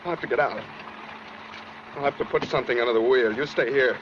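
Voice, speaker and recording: A man's voice: mid-range voice, quick pace, natural voice. Man acting a menacing killer in old radio suspense. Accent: American. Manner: threatening, commanding, sardonic. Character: menacing gun-toting male killer posing as a doctor. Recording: noisy muffled 1940s radio recording.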